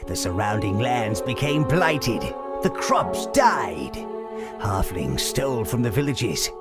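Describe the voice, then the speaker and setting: A man's voice: mid-range voice, sing-song, slow pace. A man playing a gleeful, sinister old minion who serves evil, telling a fantasy game tale in a sly, theatrical way. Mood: sly, ominous. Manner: sly, theatrical, relishing every word. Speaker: narrator and advisor. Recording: loud music behind. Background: loud music.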